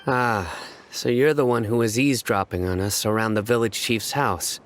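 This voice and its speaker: A man voicing a stern, vigilant enforcer in a video game, speaking in a measured, formal way. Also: lowish voice, steady tone, a bit bright, a little hiss or hum, lightly acted. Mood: composed. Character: stern, vigilant male enforcer, guarded and composed.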